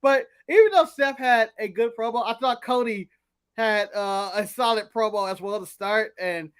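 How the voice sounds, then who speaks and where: Animated American man chatting on a show. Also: high-pitched, moderate pace, smooth. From United States. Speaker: podcast co-host. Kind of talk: casual chat.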